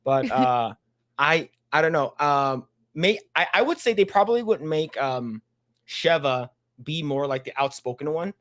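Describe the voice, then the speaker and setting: A man's voice: a little high, animated, normal speed, a bit bright. A man hosting a casual podcast, reading an article aloud and chatting with many restarts and fillers. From Chinese background. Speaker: podcast host.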